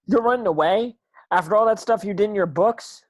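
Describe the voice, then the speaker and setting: A woman's voice: mid-range voice, brisk, natural voice. A woman playing a determined, earnest schoolboy wizard hero in an amateur script read. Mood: accusing.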